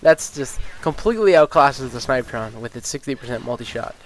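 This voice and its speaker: Man, stuffy nose, casual gaming chat, rambling, mid pitch, lots of ums, swears. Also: brisk, slight background noise. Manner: rambling, with many ums and uhs, and swears freely; gets excited and incredulous about game balance. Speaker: gaming YouTuber. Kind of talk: casual gameplay commentary.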